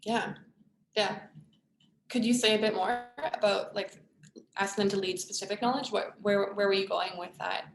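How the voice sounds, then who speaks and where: A woman's voice: mid-range voice, steady tone, moderate pace, vocal fry, echoey room, a little hiss or hum. A woman facilitating an online workshop, speaking thoughtfully with frequent fillers and restarts over a video call.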